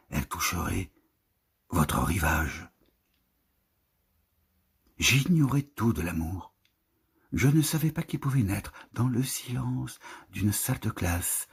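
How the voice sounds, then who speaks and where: A man with a husky, breathy voice reads poetry in a solemn, lyrical manner, lingering over the phrases of a literary text. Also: lowish voice, a little mellow. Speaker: poetry reader. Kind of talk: literary poetry recitation, scripted narration.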